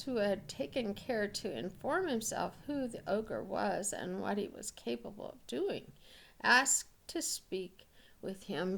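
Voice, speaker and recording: A woman reading a fairy tale aloud as an expressive storyteller. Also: fairly high pitch, vocal fry.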